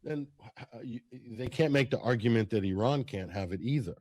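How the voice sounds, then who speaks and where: A man speaking as an interview guest, giving conversational political commentary with halting, repeated phrases. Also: mid-range voice, mellow, dry recording.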